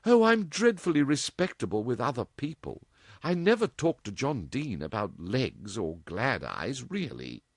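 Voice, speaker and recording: A man puts on a mischievous young woman's voice, pitched well above his own and bouncing with cheek. She is impudent and playful, delivered in a dry, close recording. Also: very animated, measured pace, no echo.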